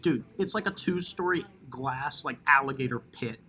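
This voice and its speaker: A man's voice: mid-range voice, normal speed, sharp tone, slight background noise. A man co-hosting a podcast in casual comedic chat, joking and boastful.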